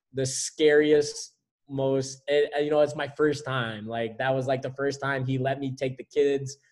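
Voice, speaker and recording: Flat-voiced man, rambling casual talk. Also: medium pitch. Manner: rambling, many fillers and restarts, flat delivery. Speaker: basketball skills trainer, guest. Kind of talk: casual conversation.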